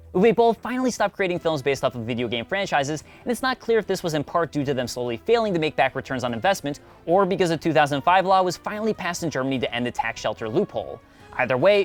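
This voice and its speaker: A man hosting a video essay, reading scripted narration with wry, conversational asides. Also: on the high side. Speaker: host of a video essay series.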